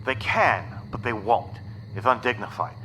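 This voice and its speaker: A man's voice: mid-range voice, lively intonation, moderate pace, a bit bright. A man playing a nerdy, pedantic boy sidekick in an audio story, talking dramatically over a tinny radio. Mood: pedantic, exasperated. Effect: tinny radio transmission. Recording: tinny walkie-talkie with faint noise.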